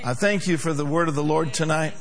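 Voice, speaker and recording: A man's voice: fairly high pitch, fairly quick. A male pastor preaching a sermon to a congregation, emphatic, with call-and-response.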